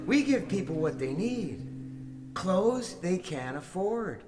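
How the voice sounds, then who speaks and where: A man's voice: sits high, sing-song, takes it slowly, exaggerated voice. An actor playing a zealous, self-assured born-again American businessman and father in an audio drama, with a folksy, preacherly manner. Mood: fervent preaching. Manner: folksy, preacherly, rhetorical questions. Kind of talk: audio drama acting, preaching speech and confessional narration. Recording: music playing behind the voice.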